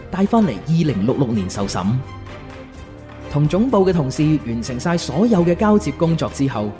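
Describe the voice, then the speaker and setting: A narrator delivers scripted radio drama storytelling. The voice is dark and mellow with very lively intonation, over light music. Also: mid-range voice. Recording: soft music behind the narration.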